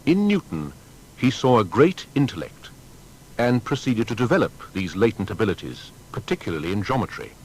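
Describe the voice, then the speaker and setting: Lowish, expressive male documentary narrator. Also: brisk, husky, rough recording. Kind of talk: scripted documentary biography narration.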